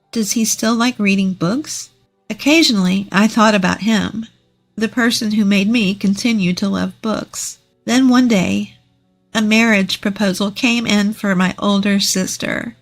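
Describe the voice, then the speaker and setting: A woman narrates a first-person story. The reading is scripted, with soft music underneath. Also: fairly high pitch.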